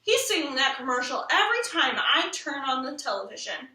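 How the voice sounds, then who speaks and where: A woman's voice: high voice, sing-song, a little fast, bright, forceful tone, heavily acted. A woman voicing a worried, grumbling father in a storybook, matter-of-fact and mildly scolding. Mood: grumbling, explaining. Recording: roomy echo, distant from the mic.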